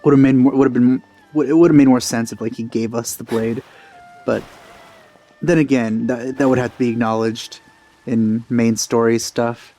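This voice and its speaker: A man's voice: medium pitch, steady tone, moderate pace, dark tone. A man giving casual let's play commentary, informal and musing to himself as he goes. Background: faint game music.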